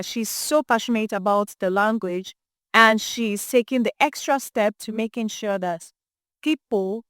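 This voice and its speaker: A Nigerian woman presenting as a podcast host, introducing an interview in an expressive, emphatic way. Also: mid-range voice, dry recording, studio quality. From Imo State, Nigeria.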